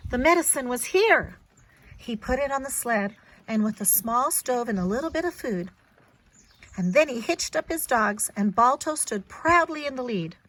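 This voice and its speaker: A woman reading a story aloud to young children, with expressive, dramatic and emphatic delivery. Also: a little high, poor audio.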